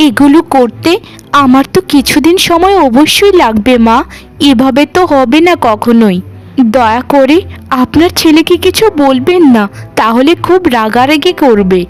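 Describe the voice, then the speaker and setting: Cartoon role: put-upon new bride, played by a woman, high voice, plaintive, meek and long-suffering. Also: expressive, moderate pace, soft background music. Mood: pleading. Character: put-upon young new bride, meek, sorrowful, pleading daughter-in-law. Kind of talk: Bengali family cartoon dialogue.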